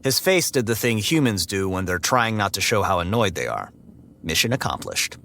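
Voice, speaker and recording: An actor playing a guarded, socially averse security android who tells its story in a dry, sarcastic, deadpan way. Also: male voice, on the low side, normal speed, slight background noise, heavily acted. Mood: sardonic, irritated. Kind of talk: first-person audiobook narration and dialogue.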